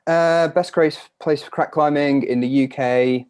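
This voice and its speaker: A man's voice: a little high, fairly even intonation, measured pace, darkish tone, clear, resonant tone. A British man, a climber, answering questions in a casual live chat, rambling a little as he thinks aloud.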